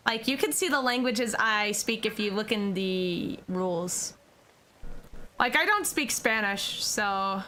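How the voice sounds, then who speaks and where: A woman's voice: medium pitch, sharp tone, a little hiss or hum, poor audio. A young American woman streaming, chatting casually with her viewers and giggling often. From United States. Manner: giggly, chatty, answers chat questions.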